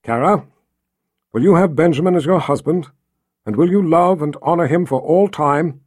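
A man's voice: fairly high pitch, normal speed, husky. A man voicing a proud, solemn lord in an audiobook, speaking in a ceremonial, emphatic, oratorical way as he officiates a wedding. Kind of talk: audiobook character acting.

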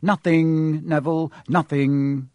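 A man voicing a determined young schoolboy hero in an audiobook, urgent and hushed. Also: a little high, flat delivery, takes it slowly, no echo, pitched above the performer's own voice. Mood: evasive.

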